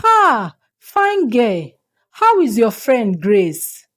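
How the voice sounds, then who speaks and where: A wicked neighbour woman, as a female storyteller plays her, gloats theatrically over her schemes. Her voice swoops up high and swings widely through a folktale villain's lines. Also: huge pitch swings, drawn-out words, a bit bright, exaggerated voice. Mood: falsely friendly.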